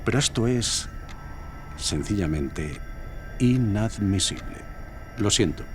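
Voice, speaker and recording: Very deep, grave stern university dean. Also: male voice, animated, music in the background. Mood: grave, firm. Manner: measured, grave, formal. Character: stern, formal American university dean expelling a student.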